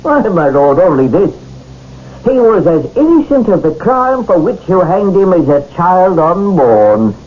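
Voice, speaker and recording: An actor playing a bitter, vengeful condemned English prisoner, mocking and theatrical in a radio drama. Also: male voice, high-pitched, very animated, unhurried. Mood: mocking, accusing. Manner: theatrical, mocking, swooping declamation. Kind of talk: 1970s radio drama acting. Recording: muffled old radio broadcast.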